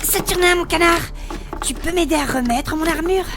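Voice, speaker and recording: A woman's voice: very high-pitched, normal speed, noisy background. A woman playing a brash, bossy, slangy adventurer in a comic fantasy audio drama. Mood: teasing, brash. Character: brash, bossy female adventurer with a mechanical hand. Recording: music behind the voice.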